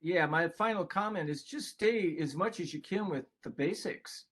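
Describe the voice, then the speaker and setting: A man's voice: on the high side, normal speed, a bit bright. An older man presenting a webinar in a casual, conversational way, with a folksy, rambling manner that often interrupts itself. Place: online video call.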